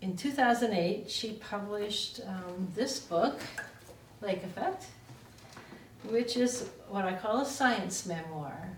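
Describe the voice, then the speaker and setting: A woman's voice: medium pitch, animated, slow. A woman college instructor introducing guests to her class in a plain, organized way. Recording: echoey classroom from far off, faint noise.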